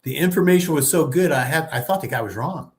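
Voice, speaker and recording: Man, seventies, from Texas. Relaxed guest on a video chat, repeats phrases for emphasis. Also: on the high side, quick pace. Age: 70s.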